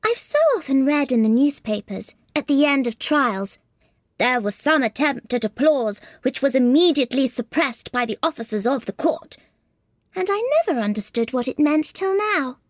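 Man putting on a high-voiced, curious little girl. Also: animated, normal speed, no room sound, clean studio sound. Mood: musing. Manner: musing to herself. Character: curious, thoughtful young storybook girl.

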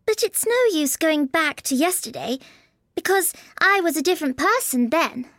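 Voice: extremely high pitch, expressive, a little slow, a bit bright, no echo, clean studio sound, voice pushed up, heavily acted. A performer voicing a curious, polite and timid little girl in a storybook, in a wondering, prim way.

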